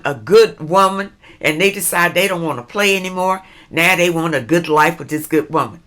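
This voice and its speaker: A woman's voice: medium pitch, a bit bright. A middle-aged woman hosting a relationship advice channel, speaking emphatically and repeating phrases for stress as she addresses her female viewers. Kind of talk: spoken advice monologue, reading viewer letters.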